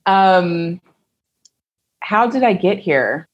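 A woman's voice: on the low side, lively intonation, very slow, lo-fi sound. A woman from Kentucky, an entrepreneur and podcast guest, talking reflectively and thinking aloud. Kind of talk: casual interview talk.

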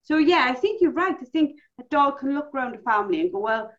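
Woman host, lively storyteller, muffled sound. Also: high voice, quick pace. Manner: animated storyteller, acts out quotes. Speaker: podcast host. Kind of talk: casual chat. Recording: dull lo-fi call audio.

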